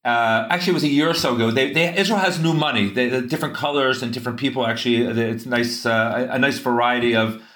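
A man's voice: medium pitch, fast, hardly any pauses, fairly bright tone, echoey room. A man speaking as a guest in a casual interview. He talks earnestly in long runs and restarts his sentences often. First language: English.

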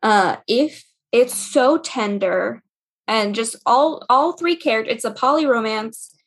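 A woman's voice: fairly high pitch, measured pace. A woman giving book recommendations in a casual podcast chat, speaking with enthusiasm in loosely strung phrases.

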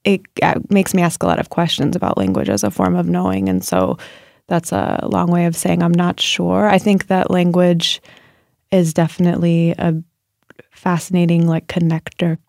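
Gravelly, dark-voiced reflective woman writer. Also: on the low side, normal speed, dry recording. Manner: thoughtful, searching, many fillers and restarts. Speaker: writer and guest. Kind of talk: reflective interview answers.

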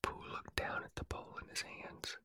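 A reader whispering a children's story softly and evenly in a calm ASMR style. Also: moderate pace. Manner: soft unvoiced whisper throughout.

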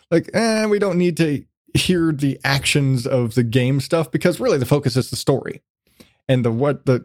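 A man's voice: medium pitch, darkish tone, no room sound, studio quality. A man hosting a podcast in a casual, rambling, sweary chat style. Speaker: podcast host.

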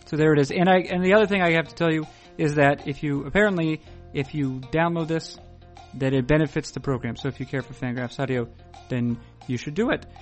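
A man hosting a podcast, talking in a dry, wordy, formal deadpan. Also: a little high, fairly quick, few pauses, faint music behind. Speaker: podcast host. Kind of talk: deadpan scripted intro and ad read.

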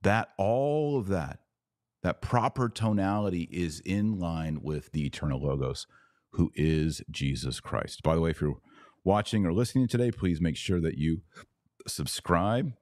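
A man with a very low, deep voice hosts a podcast. He reads viewer comments aloud and answers them sarcastically. The sound is dry and close. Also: lively intonation, normal speed, darkish tone, no echo. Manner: preachy when he turns serious. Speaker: podcast host.

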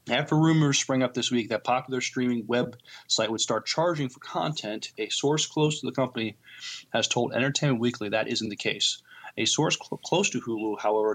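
A man co-hosting a podcast with casual news chat, haltingly repeating words as he goes. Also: mid-range voice, a bit bright. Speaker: podcast co-host.

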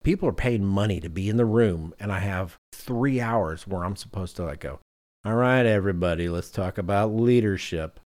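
Very deep-voiced man hosting a podcast. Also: normal speed, a little mellow. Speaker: podcast host. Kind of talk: casual conversational podcast talk.

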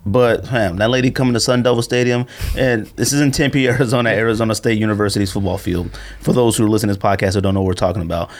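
A man hosting a podcast in casual, slangy chat, speaking in an animated and opinionated way. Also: lowish voice, quick pace. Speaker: podcast host.

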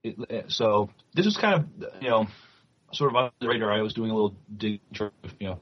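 A man co-hosting a podcast, reading news and chatting, with halting delivery when reading aloud. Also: on the low side, lively intonation. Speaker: podcast co-host. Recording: muffled, dull lo-fi audio.

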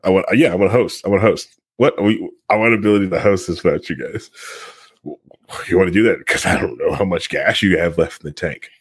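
Male gaming podcast co-host, deep husky register, casual repetitive riffing, close dry sound. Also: fairly quick, dry recording. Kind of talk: casual chat about video games.

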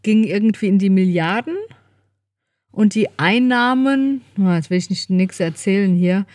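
Chatty woman on a podcast, medium pitch, super dry close mic, just casually talking. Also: moderate pace, darkish tone, no room sound. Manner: chatty, informal. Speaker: podcast co-host. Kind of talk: casual chat.

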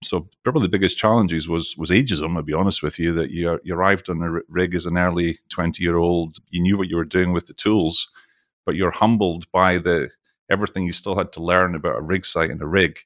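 A man's voice: low-pitched, steady tone, a little fast, a bit bright, no echo, clean studio sound. A middle-aged Scottish man chatting as an interview guest, jokey and talkative, often restarting his sentences. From Scotland. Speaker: podcast guest, oil industry executive. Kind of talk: casual interview chat.

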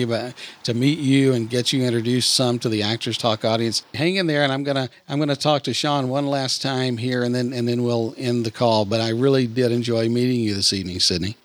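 A man hosting a podcast, talking casually as he interviews guests over a remote call. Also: medium pitch. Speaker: podcast host.

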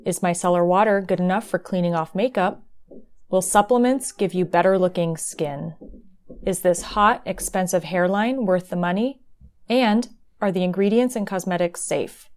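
Woman in her thirties, podcast host, lowish voice, upbeat, organized. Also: normal speed, a little mellow. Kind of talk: scripted podcast intro and casual chat.

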